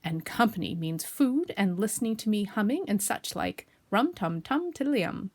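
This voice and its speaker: A woman voicing a friendly, naive, greedy male toy bear in a children's storybook, speaking with slow, drawn-out emphasis. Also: mid-range voice, lively intonation, warm tone. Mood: pleased, reasoning. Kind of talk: children's storybook character reading.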